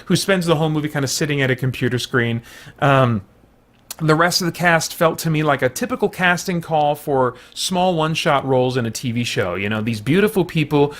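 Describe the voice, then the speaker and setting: A man's voice: fairly high pitch, fairly quick, a bit bright. An American man giving a casual, unscripted review as a host, conversational and thoughtful, often breaking off to rephrase. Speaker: film reviewer and show host.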